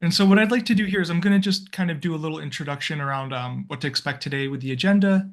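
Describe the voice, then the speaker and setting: A man's voice: a little high, rapid-fire. A man hosting a volunteer video call as coordinator, talking in a friendly, rambling way with many fillers and restarts. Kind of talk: casual video-call welcome.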